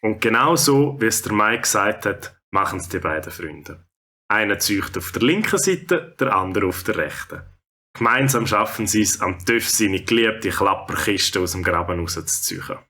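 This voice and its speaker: A man's voice: on the low side, expressive, moderate pace, sharp tone. A man with a Swiss German accent narrating a scripted children's audio story. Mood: storytelling.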